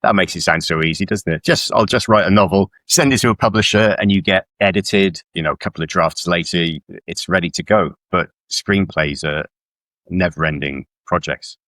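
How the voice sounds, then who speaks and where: A man's voice: low-pitched, moderate pace, fairly bright tone, no echo. A middle-aged man from South Wales chatting informally as a guest author in an interview, with a self-deprecating manner. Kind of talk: casual interview chat about work.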